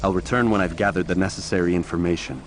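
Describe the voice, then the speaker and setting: An actor playing a cold, proud assassin in a video game, speaking tersely and seriously. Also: male voice, sits low, fast, background noise, lightly acted. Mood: curt, businesslike. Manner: curt, flat, serious.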